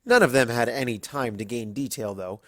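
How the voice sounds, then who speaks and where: A man's voice: mid-range voice, moderate pace, fairly bright tone, dry recording, studio quality. A man reading a scripted story aloud as its narrator.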